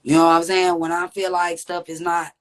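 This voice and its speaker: Woman w a deep raspy low voice, earnest podcast guest talking it through, lots of "you know what i'm saying". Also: level tone, fairly quick. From Texas. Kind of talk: candid conversational interview.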